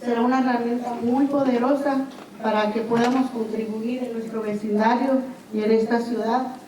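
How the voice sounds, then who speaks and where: A woman's voice: a little high, steady tone, moderate pace, dark tone, slight background noise. A woman resident giving earnest prepared testimony at a city budget hearing. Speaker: resident giving public comment. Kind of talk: formal prepared public testimony. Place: public meeting hall. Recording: very echoey hall, distant mic, crowd.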